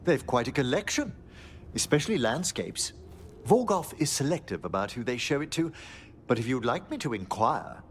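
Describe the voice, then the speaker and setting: An actor playing a courteous, scholarly gentleman necromancer in a fantasy game, with formal, genteel phrasing. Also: mid-range voice, sing-song, moderate pace, a little hiss or hum, close to an everyday voice. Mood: genteel, musing.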